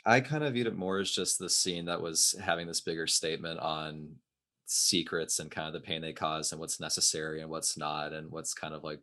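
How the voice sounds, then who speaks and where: A man's voice: extremely low pitch, fairly even intonation, moderate pace, sharp tone. A man on a podcast panel, hedging and unsure, with many likes and kind-ofs. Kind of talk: casual discussion.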